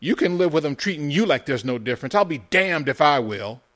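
A man voicing a belligerent, indignant young Union soldier boy in a high, shaky voice for a narrated story. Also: lively intonation, brisk, a bit bright. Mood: furious, indignant.

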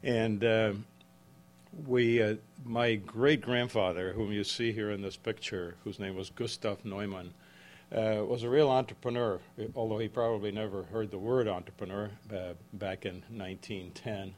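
A man in his seventies, from the Czech Republic, giving a book talk to a live audience in a halting way with frequent restarts and self-corrections. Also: lowish voice, steady tone. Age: 70s. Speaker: author. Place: event room with an audience.

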